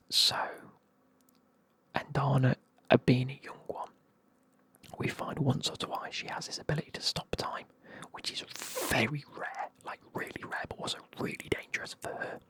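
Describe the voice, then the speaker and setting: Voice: mid-range voice, little pitch movement, a little slow, pauses often. A speaker, whispering a casual, rambling recap of a fantasy novel full of fillers. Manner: soft whisper, rambling, frequent fillers and swearing. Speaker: book reviewer. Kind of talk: whispered ASMR book recap.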